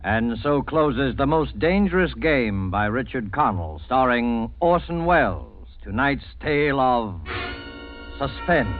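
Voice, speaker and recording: A man's voice: medium pitch, expressive. Formal 1940s radio announcer man, tinny sound. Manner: measured, dramatic, formal. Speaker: radio show narrator and announcer. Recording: tinny old radio broadcast. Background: loud orchestral music.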